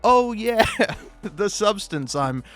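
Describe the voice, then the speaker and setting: A man doing a rambling, casual comedic monologue, halting and profane, often stammering and cutting himself off. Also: sits high, sing-song, a bit bright, faint music behind. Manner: profane, halting, self-interrupting with stammered repeats; chuckles often while talking. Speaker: host of a comedic video.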